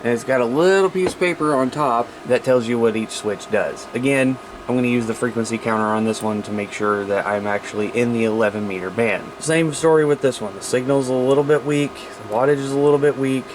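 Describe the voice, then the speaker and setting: A man's voice: medium pitch, fairly quick, background noise. An American man hosting an informal, unscripted hobby show-and-tell, talking colloquially and thinking aloud as he goes. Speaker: hobbyist video host showing radios.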